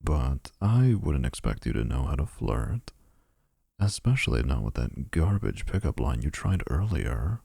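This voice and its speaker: A man's voice: very deep voice, very animated, unhurried, vocal fry, warm tone, slightly rough, dry recording, heavily acted. A man voicing a snarky, possessive male vampire suitor in a boyfriend ASMR roleplay, mocking with dry sarcasm.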